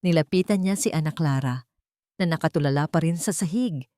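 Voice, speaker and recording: A woman with a low voice narrates a dramatic audiobook. Her phrasing is solemn and deliberate, and the sound is dry, with no room echo. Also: dark tone. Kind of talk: scripted dramatic story narration.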